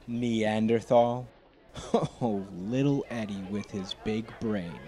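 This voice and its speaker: A man plays a cocky teenage bully with a lowish voice, smug and taunting. It is audio drama acting set against clear outdoor crowd noise. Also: takes it slowly, mellow. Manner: smug, mocking. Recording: outdoor crowd ambience behind voice.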